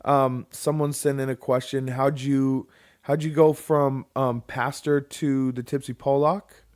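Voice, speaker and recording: A man in his thirties co-hosting a relaxed home podcast. He rambles, circling back with fillers and restarts as he reads out listener questions. His voice sits at an ordinary middle pitch. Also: a little slow, smooth. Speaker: podcast co-host. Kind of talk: casual chat.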